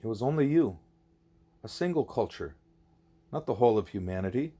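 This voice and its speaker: A man voicing a wise, patient gorilla teacher in an audiobook, deliberate and didactic, leaning on key words. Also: on the low side, animated, warm tone. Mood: emphatic, grave.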